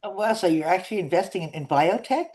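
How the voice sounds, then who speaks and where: A man's voice: high-pitched, animated, fast, darkish tone. A man hosting an interview on a video call, eager and reacting with short exclamations. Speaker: host.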